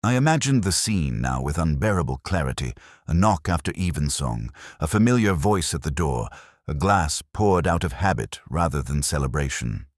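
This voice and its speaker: A man playing a weary, melancholy English police detective, narrating a radio mystery in a measured, literary, introspective manner. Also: extremely low pitch, sing-song, no room sound, clean studio sound, exaggerated voice. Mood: sombre, reflective. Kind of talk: slow literary first-person detective narration, radio drama.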